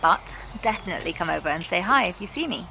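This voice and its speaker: A woman's voice: on the low side, expressive, quick pace, gravelly, background noise. A woman hosting a casual, lightly scripted question-and-answer vlog. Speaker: vlogger and animator hosting a Q&A.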